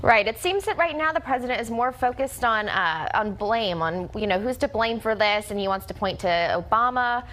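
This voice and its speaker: A woman's voice: on the high side, lively intonation, moderate pace, hardly any pauses, fairly bright tone. A woman with an American accent giving conversational live news analysis as a reporter, explaining with frequent hesitations.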